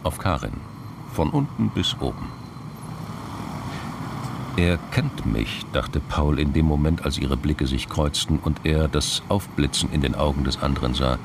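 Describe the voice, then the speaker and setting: Male narrator, very low pitch, gravelly, crime radio drama, noise behind the voice. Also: unhurried. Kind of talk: scripted literary narration.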